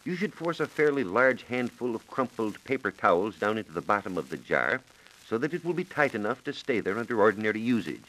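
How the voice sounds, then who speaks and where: An American man giving measured, precise instructions as a science reporter on a scripted 1940s radio program. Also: on the low side, big pitch swings, fairly bright tone, low-quality recording. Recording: 1940s radio broadcast recording.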